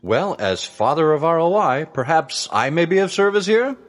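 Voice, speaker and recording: Man playing a pompous old-time businessman ghost, lively pitch. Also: normal speed. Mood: self-assured, reminiscing. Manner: formal, pompous. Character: ghost of an early-1900s businessman, formal and self-assured. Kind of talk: musical audio drama acting.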